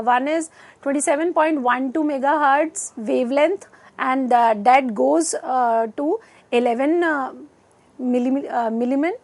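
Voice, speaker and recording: A woman with an Indian accent delivers a lecture in a high voice. She moves very slowly, phrase by phrase, with some halting as she reads. The sound is dry and close, from a lapel mic in a studio. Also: no echo. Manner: halting, reading out in short phrases. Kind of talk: scripted educational lecture. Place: indoor studio.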